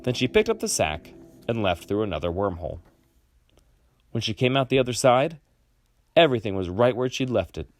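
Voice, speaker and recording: Very animated man reading a storybook. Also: mid-range voice, no echo. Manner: animated, with dramatic pauses. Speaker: children's book reader. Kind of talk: expressive storybook read-aloud.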